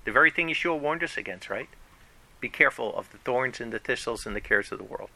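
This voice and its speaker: A man preaching a sermon to his congregation in an animated, conversational way, often raising his voice for emphasis. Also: medium pitch, fast, vocal fry, poor audio. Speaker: pastor.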